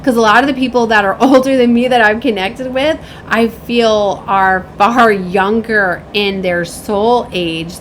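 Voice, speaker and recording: A woman's voice: mid-range voice, a little hiss or hum. A woman in her late thirties giving a casual, rambling spiritual reading as a tarot reader, with an emphatic manner.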